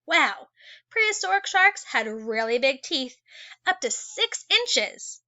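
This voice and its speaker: Female teacher for children's nonfiction read-aloud. Fairly high, bright, expressive delivery with chatty asides; slightly dull recording. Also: slow pace. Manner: animated, exclaiming and wondering aloud for young listeners.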